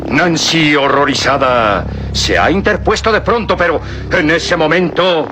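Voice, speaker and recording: A man's voice: fairly high pitch, unhurried, noisy background. A man narrating an old radio serial in a dramatic, suspenseful style. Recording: narration over loud music.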